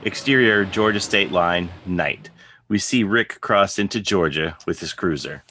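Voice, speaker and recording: Deep, bright-voiced male narrator. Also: a little slow, slight background noise. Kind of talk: scripted narration of stage directions.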